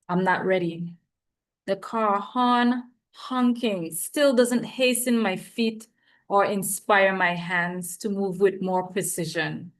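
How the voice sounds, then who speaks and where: A woman from the Virgin Islands speaks as a poet at an open reading. Her voice sits at a middle pitch. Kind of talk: poetry reading and informal talk.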